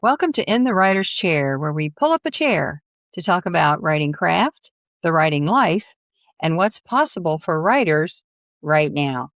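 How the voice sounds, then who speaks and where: A woman's voice: sits low, sing-song, a little slow, dry recording, faint music behind. A mature woman hosting a conversational interview, reacting with open interest and encouragement. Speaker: interview host.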